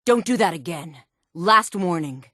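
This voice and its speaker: An actress voicing a fierce, menacing woman fighter in a fantasy video game, with forceful, threatening, dramatic delivery and a sultry tone. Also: lowish voice, expressive, measured pace, no echo, clean studio sound. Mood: threatening, angry. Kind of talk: scripted video game combat barks.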